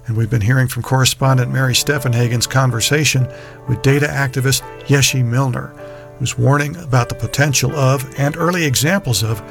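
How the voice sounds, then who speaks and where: A man hosting a radio series reads his scripted links in a measured, announcer-like way. His voice has a gravelly edge, with a faint music bed underneath. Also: medium pitch. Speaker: radio series producer and host.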